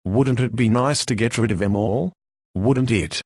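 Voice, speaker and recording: A man's voice: medium pitch, unhurried, a little mellow, breathy edge, no room sound. Folksy working-class mailman played by a man, mid-range voice, chummy and eager, dry radio drama recording. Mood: scheming, eager. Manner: folksy, slangy. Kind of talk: radio play acting.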